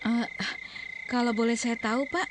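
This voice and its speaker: A woman playing a gentle, caring wife in a drama, speaking politely and deferentially. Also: on the high side, steady tone, normal speed, noisy background, close to an everyday voice.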